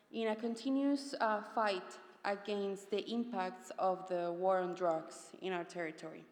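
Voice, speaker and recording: A woman from Guatemala with a Guatemalan Spanish accent, reading a prepared activist speech at a conference in a measured, solemn way. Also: medium pitch. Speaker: activist speaker. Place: conference hall, through PA. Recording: echoey hall with long reverb.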